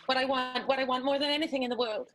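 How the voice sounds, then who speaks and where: An actress playing a timid, adoring, melodramatic wife with a hesitant delivery in an improvised radio soap opera. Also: female voice, high-pitched, fairly even intonation, fast, a bit bright. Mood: pleading. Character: breathlessly adoring, timid, dramatic wife of film star.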